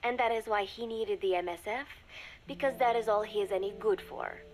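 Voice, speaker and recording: An actress playing a young secret agent confiding in a recorded diary, speaking in measured, formal phrases that often drop to a murmur, wistful and resigned. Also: female voice, mid-range voice, a little mellow, lightly acted, poor audio. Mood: somber, resigned. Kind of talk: scripted dramatic monologue, recorded diary.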